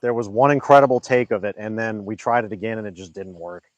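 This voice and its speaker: A young man with a lowish voice co-hosts a livestream in a laid-back, offhand way. He reads chat messages aloud and swears casually. The sound is dry and close to the mic, with no room echo. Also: fast, lo-fi sound. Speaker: livestream co-host.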